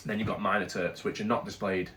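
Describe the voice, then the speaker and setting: Congested British male host with a lower voice, talking casually and candidly through a product review. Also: level tone, quick pace, a bit bright, a little hiss or hum. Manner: chatty, candid, rambling with restarts. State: congested from a cold.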